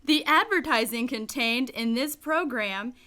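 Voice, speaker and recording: A woman's voice: a little high, expressive, unhurried, bright, forceful tone. A woman reading a sponsorship ad for a community radio program, upbeat and salesy. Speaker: ad announcer.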